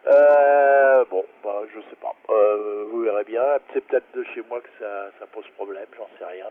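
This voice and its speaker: A man chatting casually, a little hesitant and self-deprecating, as an amateur operator over a radio link. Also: medium pitch. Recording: phone-like radio link, distant from mic.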